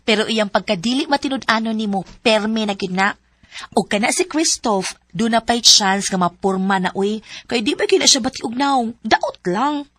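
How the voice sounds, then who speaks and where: An outspoken young friend who teases and warns, voiced by a woman. Her voice sits slightly high with a frank, chatty manner, for a radio drama. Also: expressive, moderate pace, fairly bright tone, no echo. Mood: warning. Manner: teasing, outspoken.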